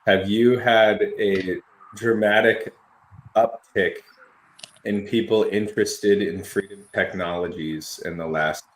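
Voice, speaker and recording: A podcast host with a very deep voice asks his guest questions, searching for words as he goes. His phrasing is loose and casual. The sound carries a clear echo from the room around him. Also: steady tone, takes it slowly. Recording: echoey room.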